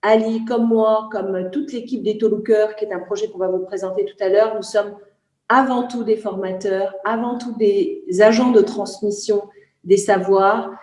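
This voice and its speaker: A woman's voice: mid-range voice, fairly even intonation, normal speed, darkish tone, roomy sound, poor audio. A woman from Senegal giving an earnest, searching testimony as a guest, with hesitations. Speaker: guest, trainer. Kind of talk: spoken testimony.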